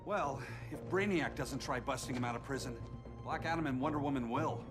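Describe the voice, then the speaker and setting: A man's voice: medium pitch, brisk, over music, natural voice. An actor playing a practical, outspoken male superhero ally in a video game cutscene. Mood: arguing. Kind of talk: video game cinematic acting.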